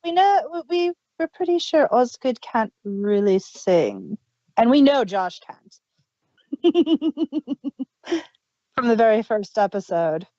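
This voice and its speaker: Female co-host, relaxed and slow, lo-fi dry mic sound, casual review chat. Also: on the high side, no room sound. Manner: chatty, fan enthusiasm, trailing restarts. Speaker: podcast co-host.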